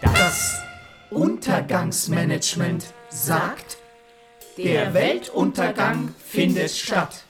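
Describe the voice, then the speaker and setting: Slow, imperious female boss over loud music. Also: lowish voice, lively intonation. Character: bossy female doomsday management boss, impatient, imperious. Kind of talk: German radio play acting.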